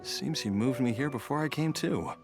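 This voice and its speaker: An actor playing a grateful, mild middle-aged father in a video game, gentle and thankful. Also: mid-range voice, animated, normal speed, music in the background, natural voice. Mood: relieved.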